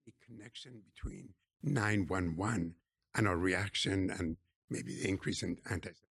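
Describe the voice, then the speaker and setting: A man's voice: low voice, normal speed, vocal fry, a bit bright, breathy edge, no echo, clean studio sound. A man in an audience putting a question to a speaker. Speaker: audience member asking a question.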